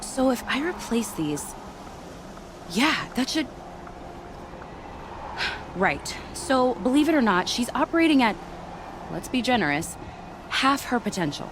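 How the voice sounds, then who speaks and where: Young game tinkerer, eager, animated pitch, stumbling chatter, noisy ambience. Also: female voice, slow, long pauses. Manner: animated, chatty, stumbles over words with excitement. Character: eager, excitable young woman weapon tinkerer. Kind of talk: video game cutscene acting.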